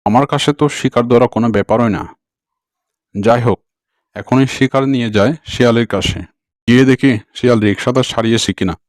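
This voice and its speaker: An actor playing a bossy, boastful tiger uncle in an animal cartoon, with a commanding and threatening manner. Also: male voice, medium pitch, a little fast, no room sound, studio quality, lightly acted. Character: bossy male tiger uncle who hunts and bullies. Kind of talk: Bangla animal cartoon dialogue.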